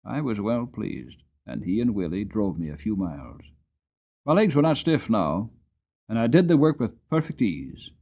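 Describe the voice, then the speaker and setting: A man narrating an audiobook story in the first person, with a dramatic, gentle storytelling manner. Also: lowish voice, very animated, normal speed, dull audio.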